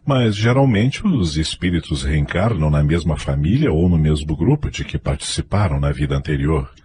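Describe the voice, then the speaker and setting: Man acting very deep-voiced questioning husband. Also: animated, normal speed, darkish tone. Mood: puzzled. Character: grave, questioning middle-aged husband and father. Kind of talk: radio drama acting. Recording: faint background noise.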